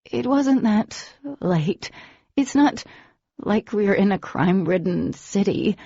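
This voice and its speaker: A woman voices a shaken, defiant young woman in an audiobook. Her throat is sore, so her voice comes out strained and very husky. The recording sounds dry and a little muffled. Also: medium pitch, animated, slow, warm tone, no echo, voice pushed up, full character voice.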